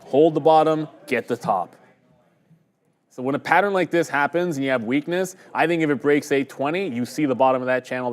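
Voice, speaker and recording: A man on a live trading stream, walking through his chart calls in a loose, rambling way. He pokes fun at his own mistakes as he goes. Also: mid-range voice. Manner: rambling, conversational, self-deprecating. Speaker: day-trading stream host. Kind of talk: live market commentary.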